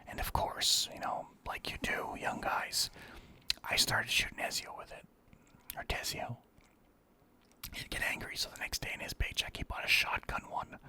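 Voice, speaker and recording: A man telling rambling personal anecdotes in a soft ASMR whisper. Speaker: storyteller.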